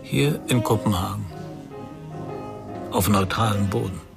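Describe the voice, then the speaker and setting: Casting: thoughtful sceptical sleuth, low creaky voice, measured radio-drama delivery, music behind. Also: male voice. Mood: probing. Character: probing, sceptical, thoughtful male investigator. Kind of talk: radio crime drama acting with narration.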